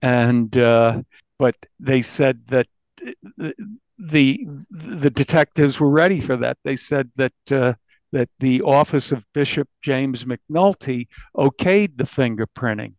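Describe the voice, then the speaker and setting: A man's voice: medium pitch, slow pace, a little mellow, no echo, lo-fi sound. A man being interviewed as a journalist, talking conversationally and often repeating words as he goes.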